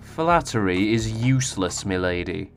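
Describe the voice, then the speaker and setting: A man's voice: fairly low pitch, animated, unhurried, faint music behind. An actor playing a noble young king in a fantasy audio drama, speaking in formal, archaic, dramatic phrases. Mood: stern, guarded. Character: noble young male fantasy king, devoted, desperate lover.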